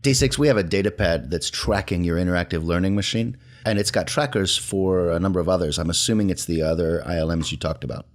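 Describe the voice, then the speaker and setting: A man with a deep, low voice talks as a player at a tabletop roleplaying game. His delivery is casual, conversational game-table chat. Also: fast, few pauses.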